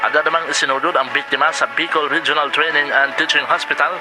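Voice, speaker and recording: A man's voice: fairly high pitch, fairly even intonation, fast, music in the background, lightly acted. Man acting a formal Filipino news anchor. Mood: formal, serious. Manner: formal, serious announcing. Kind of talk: scripted news bulletin reading.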